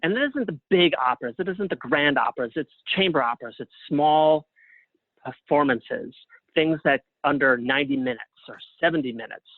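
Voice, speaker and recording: A man giving conversational interview answers as a guest, speaking haltingly and thinking aloud as he goes. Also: fairly high pitch, dry recording. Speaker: guest playwright and librettist. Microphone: phone or call line. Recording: thin phone-line sound.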